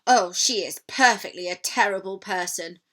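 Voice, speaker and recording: A woman voicing a happy, trusting little girl in a storybook, earnest and excitable. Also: mid-range voice, moderate pace. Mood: earnest, indignant.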